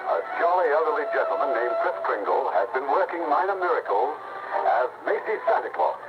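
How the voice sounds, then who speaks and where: A man's voice: a little high, very animated, a little fast, over loud music. A man narrating a 1950s radio drama in a formal storytelling style, on a muffled old recording. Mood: measured narration. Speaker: narrator and cast actor.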